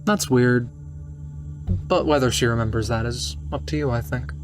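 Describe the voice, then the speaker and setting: A man's voice: mid-range voice, fairly even intonation, moderate pace, over music. A man playing a tabletop roleplaying game, narrating his character's actions and thoughts.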